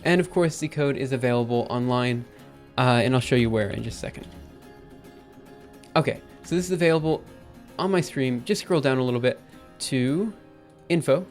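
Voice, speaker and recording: A man live-streaming his coding session, thinking aloud in an upbeat, chatty way while typing, his voice a little raspy. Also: medium pitch, normal speed, soft background music. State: slightly raspy after a drink. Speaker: programming livestreamer. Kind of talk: live coding stream, casual talk-through.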